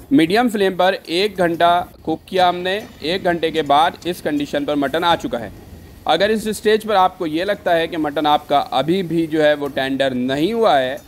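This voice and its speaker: A man narrates recipe steps as a food vlogger. His voice sits a little high, and faint kitchen noise runs behind it. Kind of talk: instructional recipe voice-over.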